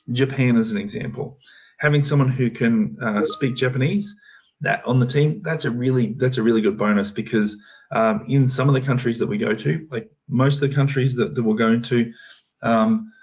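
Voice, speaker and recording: An Australian man talking loosely on a video call, explaining an opportunity with many fillers and restarts. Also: medium pitch. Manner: rambling, full of fillers and restarts. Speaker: program manager on a community call. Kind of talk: casual explanatory talk.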